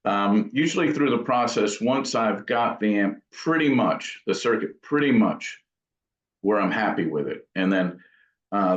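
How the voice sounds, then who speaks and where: Low-voiced man in a dull, echoey room. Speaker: guitar amp builder and guest. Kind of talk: casual explanatory interview talk. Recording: dull, roomy, echoing audio.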